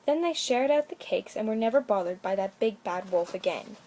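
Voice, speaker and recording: A woman's voice: mid-range voice, animated, fairly quick, a little mellow, slight background noise. A woman storyteller reading a children's bedtime story aloud with expression.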